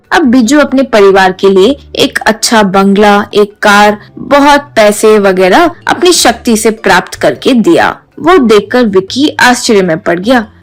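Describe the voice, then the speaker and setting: A woman reading a scripted children's story as its narrator. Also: medium pitch.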